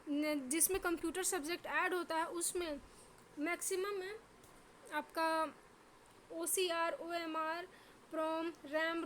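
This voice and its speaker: A woman's voice: very high-pitched, fairly bright tone, slight background noise. A woman teacher with a sore throat, drilling exam terms in a lesson by asking each question and then answering it.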